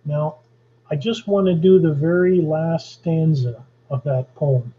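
A man's voice: fairly high pitch, measured pace. A man from Canada giving an online lecture on poetry and history, explaining at an easy pace with jokey asides. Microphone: video call. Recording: dull lo-fi video call audio.

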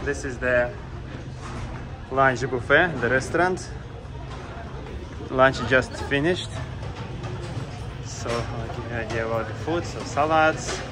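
A man giving a casual walking tour of a cruise ship on a handheld phone, speaking simple, halting English with fillers. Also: medium pitch. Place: outdoor decks and indoor restaurants of a cruise ship. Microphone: handheld phone camera. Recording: loud wind and ship noise. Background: loud wind and ship ambience.

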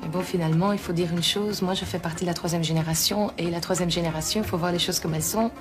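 A woman's voice: on the low side, steady tone, fast. Frank woman over background music. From Belgium. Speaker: interviewee. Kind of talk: documentary interview. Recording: music playing under the voice.

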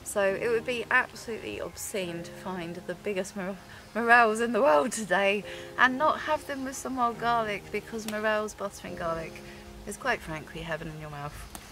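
A woman's voice: medium pitch, moderate pace, hardly any pauses. A woman in her thirties chatting casually and enthusiastically to camera as she hosts an outdoor vlog in the woods. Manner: enthusiastic, giggly. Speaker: vlog host, forager. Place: outdoors in a woodland.

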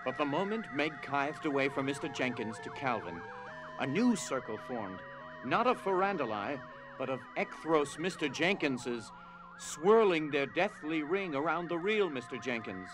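A man's voice: a little high, sing-song, normal speed. Male storyteller narrating dramatically over music.

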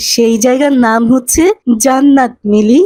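A woman voicing an earnest, pious boy who explains things gently in a cartoon. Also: high-pitched, fairly even intonation, measured pace, no echo.

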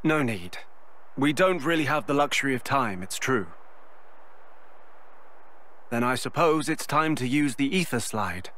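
Polite, scholarly inventor, lively pitch. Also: male voice. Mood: calm, resolute. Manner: polite, measured, explanatory. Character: composed, scholarly young male inventor and leader. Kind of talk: video game cutscene acting.

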